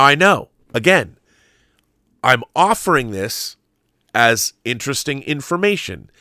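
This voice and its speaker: An American man hosting a live show, talking conversationally with halting repeats and emphatic stresses as he reads aloud and riffs. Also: medium pitch, sharp tone, no room sound, studio quality. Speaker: show host reading viewer questions live. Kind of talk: conversational live-stream commentary, reading aloud and riffing.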